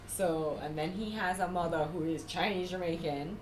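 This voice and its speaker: Woman interviewee, lowish voice, chatty and wry, roomy echo. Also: moderate pace, background noise. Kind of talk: casual interview talk.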